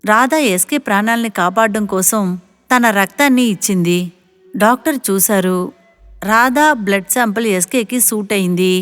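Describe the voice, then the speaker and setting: Female story narrator: scripted moral tale, medium pitch, reverberant sound, light music beneath. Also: normal speed, a bit bright, clean tone.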